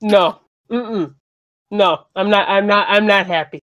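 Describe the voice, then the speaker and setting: A woman's voice: mid-range voice, measured pace, a bit bright, no room sound. A woman hosting a live stream in casual chat, with a teasing, mock-scolding way of talking. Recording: dull, lo-fi microphone.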